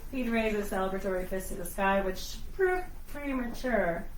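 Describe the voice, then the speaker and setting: A woman's voice: medium pitch, moderate pace. Woman narrator in an echoey room. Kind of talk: scripted narration at a live novel reading. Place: live reading in a bookstore. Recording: roomy, distant mic, faint noise.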